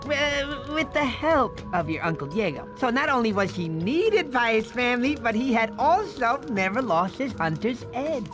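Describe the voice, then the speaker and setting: A man voicing a goofy, chatty sloth uncle who tells stories eagerly and theatrically in an animated game. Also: very high-pitched, moderate pace, hardly any pauses. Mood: storytelling. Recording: music behind the voice.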